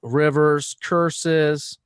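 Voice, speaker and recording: A man with a booming voice co-hosting a casual sports podcast, joking crudely and loosely. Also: little pitch movement, drawn-out words, clear, resonant tone, no room sound, studio quality. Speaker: podcast co-host.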